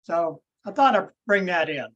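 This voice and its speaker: A man's voice: high-pitched, lively intonation, normal speed, a bit bright, highs cut off. A man chatting in a folksy, easygoing way on a video call. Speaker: caller. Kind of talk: casual chat.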